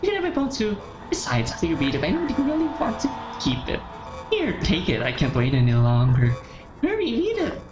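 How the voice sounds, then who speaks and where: A woman's voice: lowish voice, wildly swooping pitch, brisk, pitched above the performer's own voice. A woman voicing an obsessive, unstable lovesick teenage girl from a horror game in a dramatic reading, swinging between sweet and menacing. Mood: creepy adoration. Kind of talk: dramatic fan-fiction reading. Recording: roomy echo with faint music behind.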